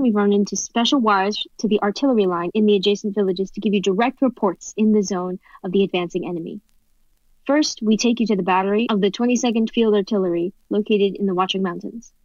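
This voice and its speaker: A woman's voice: mid-range voice, dry recording, natural voice. A woman playing a sober radio news announcer, reading urgent bulletins formally in a radio play. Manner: formal, telegraphic delivery. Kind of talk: scripted radio play newsreading. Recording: dull, dry lo-fi recording.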